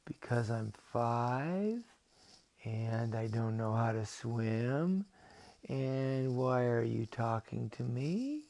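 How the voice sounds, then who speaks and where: A man's voice: medium pitch, animated, drawn-out words, a little mellow. A man voicing a timid, baffled five-year-old boy in a storybook tale, asking hesitant, incredulous questions. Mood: wary.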